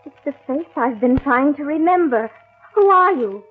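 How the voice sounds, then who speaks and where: An actress playing a bewildered, anguished woman robbed of her memory, halting and melodramatic, in an old-time radio horror drama. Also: sits high, lively intonation. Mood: pleading, entranced. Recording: muffled scratchy old radio broadcast, faint music.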